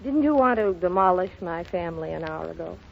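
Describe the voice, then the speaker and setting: A woman's voice: lowish voice, animated, brisk, vocal fry. An actress playing a bold, thrill-seeking young upper-class woman in a 1930s radio drama, with poised, clipped phrasing. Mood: playful. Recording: dull old 1930s radio recording.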